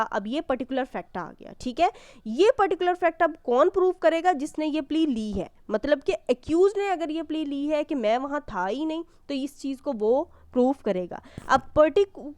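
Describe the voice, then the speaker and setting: A woman's voice: high voice, darkish tone, no room sound. A woman giving an explanatory law lecture, reading out provisions and explaining them didactically with rhetorical questions. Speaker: law teacher.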